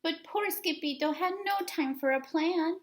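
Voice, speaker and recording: A woman reading a children's story aloud with expressive, dramatic and emphatic narration. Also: very high-pitched, a little slow, slight background noise. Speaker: storybook reader.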